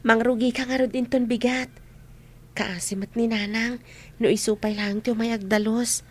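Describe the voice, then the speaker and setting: A woman plays a hardworking young masseuse with a fairly high voice. She is chatty, earnest and hopeful, with an Ilocano accent, in the manner of a village radio comedy. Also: fairly even intonation, moderate pace, natural voice. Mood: friendly, teasing. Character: hardworking, hopeful young massage clinic owner. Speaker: radio drama performer. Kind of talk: comedy radio drama acting.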